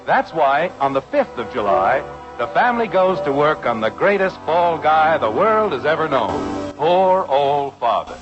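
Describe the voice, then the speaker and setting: A man's voice: on the high side, very animated, unhurried. A man announcing and narrating a scripted 1950s radio comedy. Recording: dull old radio recording with music.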